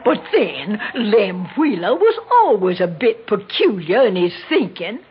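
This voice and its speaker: Slow, prim old Southern mother. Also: medium pitch, sing-song. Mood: musing. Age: 80s. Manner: folksy, genteel, deliberate. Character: elderly Southern mother, prim, sly, sharp-tongued. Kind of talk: 1960s radio drama acting. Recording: dull, slightly noisy 1960s radio recording.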